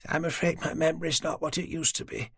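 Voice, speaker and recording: A woman's voice: low-pitched, quick pace, gravelly, a bit bright, breathy edge, no room sound, clean studio sound, pitched above the performer's own voice, heavily acted. A man reading an audiobook, voicing a frail, forgetful elderly woman who was once a nurse, in a genteel, rambling manner. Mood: chatty. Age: older. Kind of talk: audiobook character voice.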